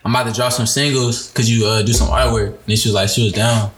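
A man's voice: medium pitch, fairly even intonation, fast, a bit bright, slight background noise. A twenty-year-old man, a podcast guest, chatting casually and rambling with lots of "like". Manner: loose, rambling, heavy use of "like". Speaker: guest musician and designer. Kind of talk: casual interview chat. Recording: roomy echo.

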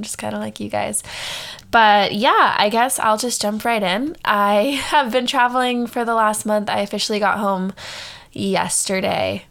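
A woman's voice: medium pitch, gravelly. A young woman with a cold, sounding congested, chatting and rambling as a podcast co-host on a solo episode. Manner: rambling, chatty, laughs often.

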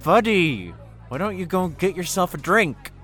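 A man playing an earnest, soft-hearted young man in love, in an audio drama. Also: high voice, lively intonation, a little slow, faint music behind. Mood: relieved, eager. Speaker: voice actor.

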